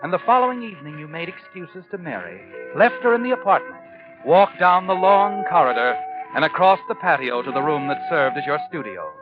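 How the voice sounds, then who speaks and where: A man's voice: fairly high pitch, animated, fairly quick, lightly acted. Man acting an ominous, swooping 1940s radio narrator. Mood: suspenseful, taunting. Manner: insinuating, dramatic second-person address. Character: the Whistler, ominous male narrator taunting the protagonist. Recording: muffled 1940s radio recording with music.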